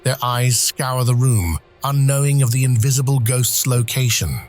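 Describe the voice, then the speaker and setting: A man's voice: medium pitch, moderate pace, a little mellow, faint music behind. A man reading scripted screenplay narration for an audio drama.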